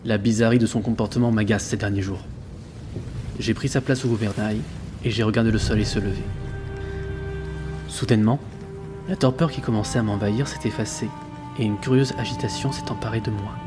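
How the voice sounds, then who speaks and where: An actor playing an earnest sailor who narrates his ship's log in a measured, reflective way for an audio drama. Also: fairly low pitch, steady tone, lightly acted. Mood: uneasy. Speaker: narrator and lead character. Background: background music.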